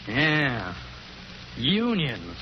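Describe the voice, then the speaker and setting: A man's voice: medium pitch, huge pitch swings, extremely slow, heavily acted. Surly American garage man, noisy recording. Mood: suspicious. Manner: terse, folksy. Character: surly, suspicious small-town garage man. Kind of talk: 1940s radio drama acting. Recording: muffled, noisy 1940s radio recording.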